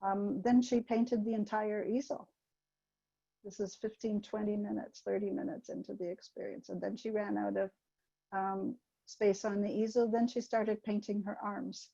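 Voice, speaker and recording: An older woman giving a webinar talk, gentle and hesitant, with many ums, sharing anecdotes over a video call. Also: mid-range voice, little pitch movement, normal speed, creaky, no echo, muffled recording. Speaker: webinar presenter. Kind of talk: informal teaching talk with anecdotes.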